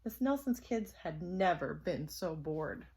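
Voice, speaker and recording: Expressive woman reading a children's story. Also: on the low side, unhurried, background noise. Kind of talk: expressive children's read-aloud narration.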